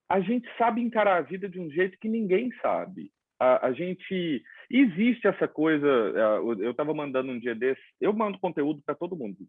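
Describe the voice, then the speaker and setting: A man from Brazil hosting a casual interview, telling stories in an animated way and acting out quoted dialogue. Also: on the high side, no room sound. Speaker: host. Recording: dry tinny phone-like line.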